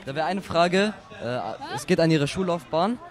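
A man's voice: on the high side, moderate pace. A young male student interviewing a teacher outdoors, reading prepared questions with frequent hesitations. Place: outdoors at a street festival.

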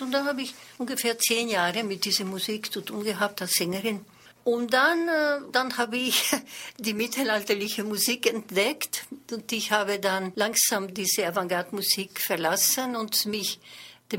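An older woman, a French native speaker, talks about her life in a radio interview. Her voice is slightly husky, and she thinks aloud, pausing and correcting herself now and then. Her pitch sits at an ordinary middle height. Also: a bit bright. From born in Paris, raised in Madrid. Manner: reflective, with hesitations and small grammar slips. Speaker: singer and voice coach.

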